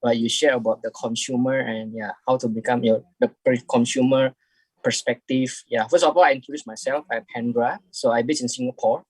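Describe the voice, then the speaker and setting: A man's voice: medium pitch, fairly even intonation, slightly rough, dry recording, low-quality recording. Hesitant man on a video call. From Indonesia. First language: Indonesian. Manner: halting, many restarts, keeps adding "right?". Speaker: audience member asking the guest questions. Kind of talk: casual question in an online talk.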